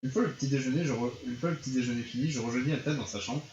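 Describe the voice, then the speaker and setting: A man's voice: medium pitch, racing, a little mellow. Man narrating a visual novel, echoey room. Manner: informal, slangy. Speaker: player reading a visual novel aloud. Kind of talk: dramatized narration, casual and improvised. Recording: muffled, echoey room with noise.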